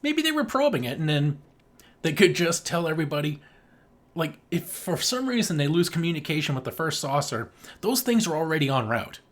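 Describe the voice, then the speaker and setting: A man's voice: on the high side, very animated, fairly quick. A man co-hosting a podcast, chatting casually about a film in a slangy, joking, sweary way. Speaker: podcast co-host. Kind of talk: casual film review chat.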